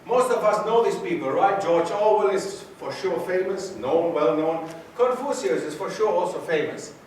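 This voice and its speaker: Male guest lecturer, fairly high voice, quizzes the crowd, recorded far off in a very reverberant hall. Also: lively intonation, normal speed, slight background noise. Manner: questions the audience, repeats key points for emphasis. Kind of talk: university society lecture.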